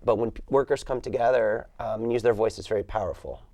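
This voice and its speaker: A man speaks as a union organizer in a steady, confident way, turning his answers into rallying phrases. His voice is mellow and darker in tone. The studio sound is close and dry. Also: on the low side, fast, no room sound. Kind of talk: interview answers.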